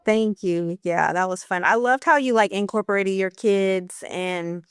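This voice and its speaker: A woman's voice: medium pitch, measured pace, smooth, no echo, clean studio sound. A woman in her thirties, an esthetician, talking casually on a podcast in an encouraging way, with a light stutter and many fillers.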